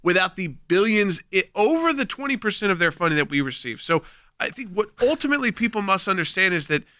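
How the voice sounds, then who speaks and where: A man's voice: high-pitched, big pitch swings, quick pace, bright, forceful tone, no room sound, clean studio sound. A man co-hosting a live call-in talk show, explaining points steadily.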